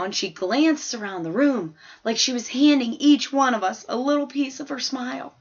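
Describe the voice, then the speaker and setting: A woman's voice: medium pitch, creaky, a bit bright. A woman voicing a plain-spoken, observant fourth-grade girl who tells her own story in a chatty, folksy, emphatic way. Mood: earnest, self-conscious. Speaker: audiobook narrator. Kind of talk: scripted first-person story narration. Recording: slightly dull, lo-fi audio.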